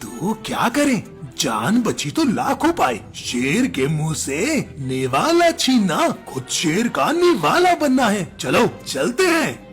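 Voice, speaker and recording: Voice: mid-range voice, huge pitch swings, slow. An actor voicing a menacing, swaggering animal predator in a children's cartoon fable, with exaggerated delivery. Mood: wary. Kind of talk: cartoon story voice acting. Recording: music behind the voice.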